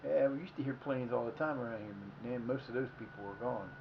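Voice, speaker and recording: A man's voice: medium pitch, fairly even intonation, fast talker, creaky, background noise. Rambling man in his fifties, muffled audio. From Ohio, United States. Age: 50s. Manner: meandering, self-correcting, folksy. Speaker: livestream host. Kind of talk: rambling casual storytelling.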